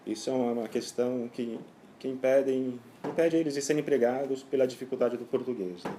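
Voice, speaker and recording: Casting: man with a mellow, dark voice, plain explanatory interview answer. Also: mid-range voice, brisk, a little hiss or hum.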